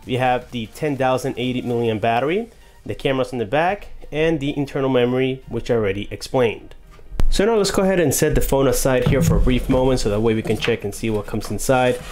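A man with a mid-pitched voice presents a tech review in a casual unboxing style. He explains each point with enthusiasm and addresses viewers directly and informally. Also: darkish tone, a little hiss or hum.

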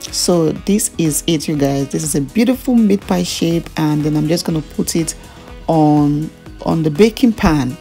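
Casting: Nigerian-accented woman, lower-pitched voice, chatty food vlogger walking through a recipe over background music. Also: unhurried, warm tone. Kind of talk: casual cooking tutorial narration.

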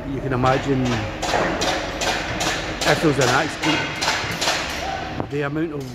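Loud site noise surrounds a man talking into a handheld camera outdoors in a big open space. His voice sits at a middle pitch. He commentates casually, musing and explaining as he goes. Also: steady tone, a little slow. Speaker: vlogger. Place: outdoors in stands of large open stadium. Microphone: handheld phone or camera.

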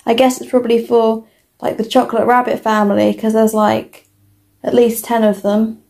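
A young woman with a British accent giving a casual, informal toy review as an unhurried walkthrough. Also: on the high side, little pitch movement, warm tone.

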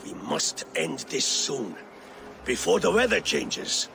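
An older actor playing an aging, proud and warm Viking chieftain in a video game, with a gravelly, weathered voice. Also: male voice, fairly high pitch, huge pitch swings, takes it slowly, background noise, heavily acted. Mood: assured. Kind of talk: video game cutscene acting.

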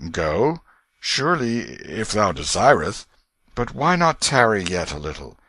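A man voicing a stern old king turned gentle, anxious father in an audiobook, with stately, coaxing archaic speech. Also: on the low side, very animated, unhurried, dry recording, heavily acted. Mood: soothing, reassuring. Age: older. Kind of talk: audiobook character voice.

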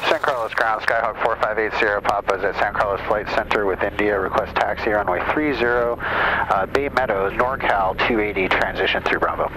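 Low male pilot on noisy radio. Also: steady tone, brisk. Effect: aviation radio. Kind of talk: radio calls to air traffic control. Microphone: aviation headset boom mic. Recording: noisy cockpit radio audio.

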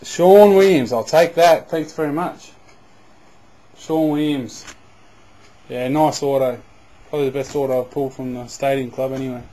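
A man giving casual running commentary as he opens packs of trading cards, breaking into loud bursts of excitement. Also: mid-range voice, moderate pace, long pauses, low-quality recording. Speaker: card box breaker. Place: indoors at a table.

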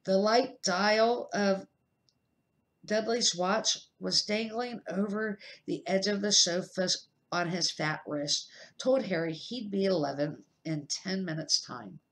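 A middle-aged woman reading a children's novel aloud as an amateur, slightly halting and correcting her words as she goes. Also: mid-range voice. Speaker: amateur storybook reader.

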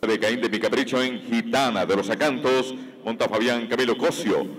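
A man calls out show entries in a measured, formal cadence. His voice carries a long reverb from a large indoor arena, heard through the loudspeakers. The pitch sits in a middle range. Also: breathy edge. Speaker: show announcer. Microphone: PA system. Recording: long hall reverb over arena speakers.

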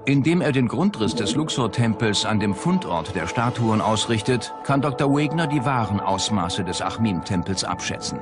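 Low guy narrating a documentary, scripted, music playing behind him. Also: expressive, normal speed, few pauses. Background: music bed.

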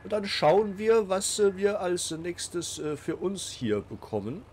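A man's voice: high voice, a little slow, darkish tone, slight background noise. Man with a cold, chatty gamer. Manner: chatty, many "äh" fillers and restarts. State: has a cold. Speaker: let's play host. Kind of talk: casual game commentary.

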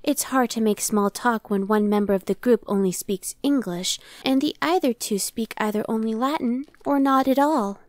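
Female demon searcher, played by a woman: impatient, dismissive, conversational ASMR roleplay, slightly high pitch, very dry sound. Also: moderate pace, hardly any pauses, dry recording, natural voice. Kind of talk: ASMR roleplay, one-sided dialogue.

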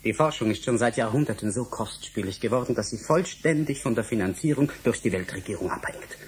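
An actor as an earnest young male scientist in a radio drama. His voice sits at a medium pitch and he speaks with careful, orthodox formality. A little noise lies behind him. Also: natural voice. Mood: defensive. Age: 20s. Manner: formal, measured, polite. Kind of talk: German radio drama acting.

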